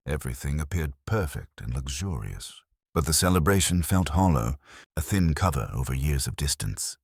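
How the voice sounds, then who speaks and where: A man narrating a scripted first-person story in a measured, dramatic storytelling manner. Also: rumbling low, no echo, clean studio sound.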